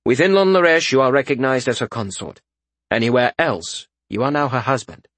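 A man playing a cool, deadpan king. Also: medium pitch, a little fast, no echo. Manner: dry, calm, deadpan. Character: cool, detached young king.

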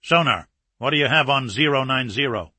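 A man's voice: medium pitch, moderate pace, pushed and bright, no echo. A man voicing a tense submarine captain for an audiobook, giving urgent, clipped military commands. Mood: alert. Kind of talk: audiobook character acting.

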